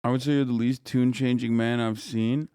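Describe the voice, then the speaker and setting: A man's voice: medium pitch, flat delivery, fairly quick, mellow, clean tone, no room sound, studio quality. A man in his thirties streaming, reacting in a profane, incredulous, slangy way. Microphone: streaming desk mic.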